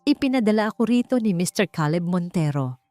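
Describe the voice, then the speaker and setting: A formal, unemotional male lawyer read by a woman narrator in a romance audio story. The delivery is direct and businesslike, slightly low, over a music bed. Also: animated, fairly quick, mellow, natural voice. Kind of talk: storybook character dialogue.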